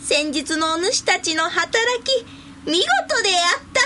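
Playful granny boss in radio drama, super high voice, old-timey talk, swoopy. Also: female voice, lively intonation, measured pace, sharp tone, slight background noise, exaggerated voice. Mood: playful, kindly. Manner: old-fashioned elder speech, chuckling. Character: elderly female commander, playful and kindly elder. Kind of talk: Japanese anime-style radio drama acting.

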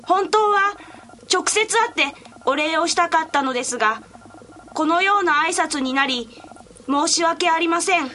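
A woman plays a small boy prince in an anime-style radio drama. The voice is high and bright, earnest and very polite, with careful formal delivery over faint noise. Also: expressive, moderate pace, heavily acted. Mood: earnest, grateful. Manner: formal, careful letter reading.

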